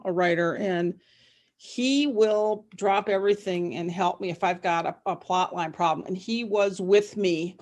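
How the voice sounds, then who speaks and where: Middle-aged female podcast guest, author type, conversational and animated, loops back on phrases, mid-range voice. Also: medium pitch. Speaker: podcast guest, novelist and former psychologist. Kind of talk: casual interview chat.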